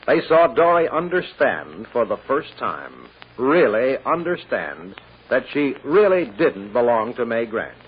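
A man's voice: medium pitch, big pitch swings, measured pace, husky. A man as a grave narrator of 1940s radio drama, solemn and emphatic. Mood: grave intensity. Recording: muffled hissy old radio recording.

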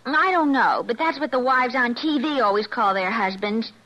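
A woman's voice: fairly high pitch, expressive, moderate pace, sharp tone, highs cut off. A woman playing a suspicious, sarcastic wife in an old radio comedy sketch. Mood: sarcastic, angry. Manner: sarcastic, cutting. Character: sharp-tongued, indignant, suspicious suburban wife.

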